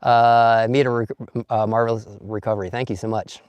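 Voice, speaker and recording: A man with a slightly hoarse, mid-pitched voice chats casually through his phone from a sunny campsite outdoors, greeting viewers by name and laughing easily. The sound is close and dry, with no room echo at all. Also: level tone, fairly quick, dull audio. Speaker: vlogger. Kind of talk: casual live stream chat, reading comments. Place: outdoors at a campsite in bright sun.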